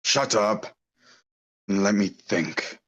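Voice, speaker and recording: A man's voice: fairly low pitch, takes it slowly, a bit bright. A man playing a sardonic, curt ringleader of bumbling crooks in a script table read. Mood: bossy.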